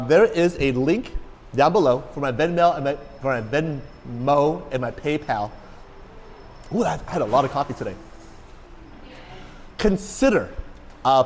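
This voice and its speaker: A man's voice: mid-range voice, darkish tone, long reverb tail. A man, a food vlogger chatting casually and jokingly in a restaurant, rambling and repeating himself. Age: 40s. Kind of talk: casual chat. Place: busy restaurant.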